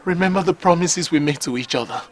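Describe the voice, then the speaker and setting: A man's voice: on the high side, fast, close to an everyday voice. An actor playing a remorseful man pleading with the woman he wronged to come back. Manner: pleading, repeating her name. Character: remorseful man begging his estranged lover. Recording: music underneath.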